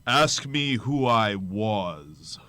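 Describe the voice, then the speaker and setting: A man's voice: fairly low pitch, very animated, drawn-out words, bright, forceful tone, no room sound, full character voice. A man playing the mournful, commanding ghost of a dead businessman in a radio drama, solemn and declamatory, drawing out his words. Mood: ominous.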